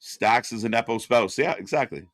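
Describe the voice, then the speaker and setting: A man's voice: mid-range voice, normal speed, no echo, studio quality. A man co-hosting a live wrestling watch-along stream, wisecracking, laughing often and swearing. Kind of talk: casual live-stream commentary.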